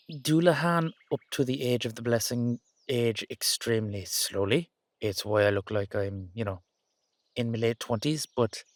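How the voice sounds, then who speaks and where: A man's voice: medium pitch, no room sound, natural voice. A man playing a playful, affectionate young-looking Dullahan boyfriend with an Irish accent, rambling and teasing in a roleplay audio.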